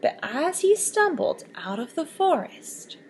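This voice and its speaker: A woman reading a children's picture book aloud with expressive, animated storytelling. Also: high-pitched, slow. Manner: expressive, lively storybook voices.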